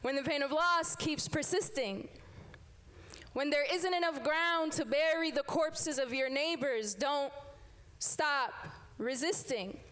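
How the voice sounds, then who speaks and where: A woman's voice: high voice, slow pace, fairly bright tone. A young woman from Sudan, a teacher, performing spoken word poetry live before an audience, with a rhythmic, emphatic refrain. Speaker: poet and teacher. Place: live event room with an audience.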